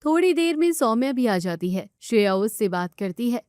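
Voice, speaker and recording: A woman reads a scripted story as its narrator. Her voice sits fairly high, and the recording is dry with hardly any room sound. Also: big pitch swings, moderate pace, a little mellow, clear, resonant tone, dry recording.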